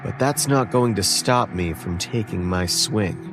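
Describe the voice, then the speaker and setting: A man plays an earnest young male ballplayer narrating a horror audio drama. His voice sits fairly low, and he tells his story deliberately and conversationally, with determination. Music runs clearly underneath. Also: lightly acted. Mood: resolute, tense. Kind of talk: scripted first-person audio drama narration.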